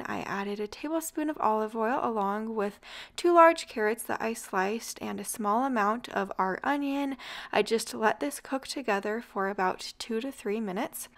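Young woman host for a home cooking voice-over, friendly and chatty, voice sits a bit high. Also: fairly bright tone. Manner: chatty, upbeat, walks through each step. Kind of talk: casual recipe voice-over narration.

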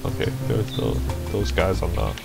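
A man with a fairly deep voice commentates on a video game. He thinks out loud casually, with a musing, self-deprecating manner. Music plays clearly behind him. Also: sing-song, normal speed. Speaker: gamer, let's play host.

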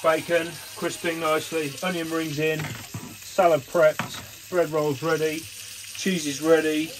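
A man's voice: on the high side, level tone, slow. A man, chatting casually while cooking and sailing on a small boat. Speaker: cook and skipper. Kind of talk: casual vlog chat. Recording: noisy boat sound.

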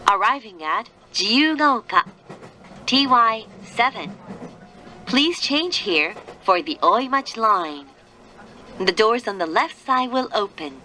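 A woman reading scripted train announcements in a formal, measured, carefully enunciated manner. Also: fairly high pitch, sharp tone. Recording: train announcement with faint vehicle noise. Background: moving train noise.

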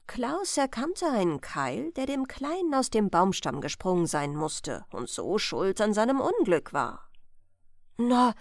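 A woman in her thirties reading a bedtime fairy tale as an audiobook host, with unhurried, expressive storytelling, close on a studio microphone. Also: medium pitch, no echo. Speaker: audiobook reader and channel host. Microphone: large studio microphone, close.

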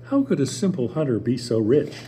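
A man's voice: medium pitch, huge pitch swings, brisk, warm tone, a little hiss or hum. Older man playing a sly, warning sister. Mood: ominous, insinuating. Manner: ominous, insinuating, warning. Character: scheming, envious sister, female. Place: small theater stage with audience. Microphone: table mic.

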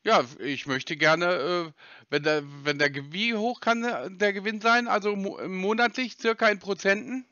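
A man's voice: high-pitched, moderate pace, bright, forceful tone, smooth, no room sound. A man posing as a customer on a phone call, probing and mock-naive, arguing and picking apart what he hears. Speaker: scam baiter posing as a customer.

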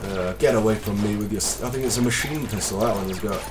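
A man with a slight cold, casually commentating his gameplay as a let's play host, excitable and self-deprecating. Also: on the low side, fast talker, far from the mic, music in the background.

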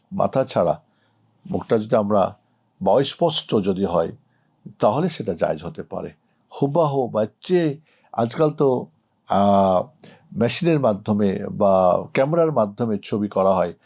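A man's voice: mid-range voice, darkish tone. A middle-aged man speaking as an Islamic cleric, giving a didactic, emphatic religious answer to a question. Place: indoor studio set.

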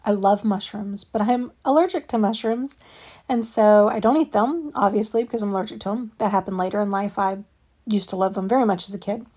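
A woman's voice: mid-range voice, brisk, darkish tone, husky. A woman narrating her home cooking in a chatty, plainspoken way, with frequent ums and asides. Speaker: home cook and channel host. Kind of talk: casual cooking voice-over. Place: home kitchen.

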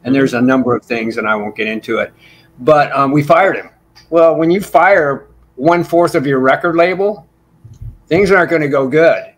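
A man in his sixties, a bassist guest telling long rambling stories in a casual interview over a video call from a small home room. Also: moderate pace. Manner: talkative, rambling storyteller. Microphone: video call with desk mic.

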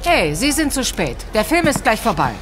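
Indignant, high-voiced cinema employee. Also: female voice, big pitch swings, moderate pace, loud music behind the voice. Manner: scolding. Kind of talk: horror audio drama acting. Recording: noisy background.

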